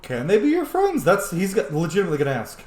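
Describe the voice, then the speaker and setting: A man's voice: high voice, wildly swooping pitch, fast, a little mellow, echoey room. A man giving casual gameplay commentary, musing aloud and explaining as he plays and roleplays. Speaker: host.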